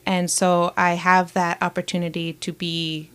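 A lower-pitched woman chatting casually as an interview guest. She talks in a bubbly, loud way and laughs often between thoughts. Her voice sits a little low for a woman. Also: little pitch movement, unhurried, smooth. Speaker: roller derby skater, guest.

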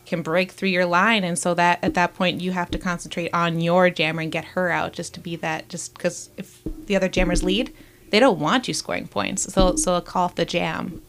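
Woman, low-ish voice, casual interview guest, bubbly, loud, laughs often. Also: steady tone, moderate pace. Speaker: roller derby skater, guest.

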